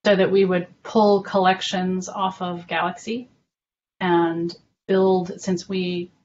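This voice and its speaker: A woman explaining things informally in an online meeting, measured and a little hesitant as she goes. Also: fairly low pitch, level tone, darkish tone. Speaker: documentation working group member presenting. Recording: dull lo-fi online call with faint noise.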